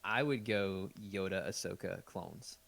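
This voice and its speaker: Low-voiced podcast host. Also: male voice, measured pace, gravelly, a bit bright. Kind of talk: casual live podcast chat.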